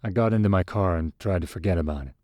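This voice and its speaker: A man's voice: sits low, quick pace. A man reading scripted first-person fiction as the narrator of a short story.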